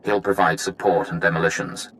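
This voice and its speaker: A brisk intelligence operative in a video game. His low, forceful voice gives a grave, formal briefing over faint background noise. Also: fairly even intonation, poor audio. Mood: businesslike.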